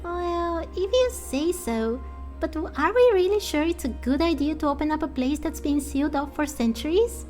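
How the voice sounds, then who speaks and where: A woman's voice: sits high, animated, moderate pace, darkish tone, soft background music, pitched above the performer's own voice. A woman voicing a small, sceptical sidekick in a fantasy game, cheeky and worried, with an exasperated, questioning manner.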